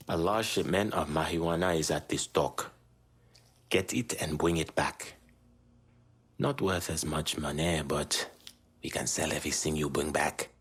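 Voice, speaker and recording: A man playing a grieving, embittered middle-aged Haitian gang boss in a video game, with a Haitian accent, speaking gravely and deliberately. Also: fairly bright tone, full character voice. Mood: grim, firm. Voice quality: husky, low voice. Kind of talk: dramatic video game dialogue.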